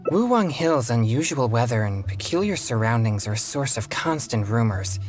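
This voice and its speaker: An actor playing a polite, solemn teenage boy exorcist in a video game, speaking in a measured, formal way with a self-doubting streak. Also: mid-range voice, natural voice. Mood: wistful, serious. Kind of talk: video game character dialogue. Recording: game music behind the voice.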